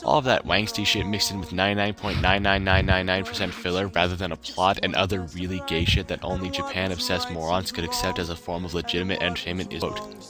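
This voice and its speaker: A man with a low voice performs a dramatic reading of an online argument. His delivery is sarcastic and exaggerated, and loud music plays behind him.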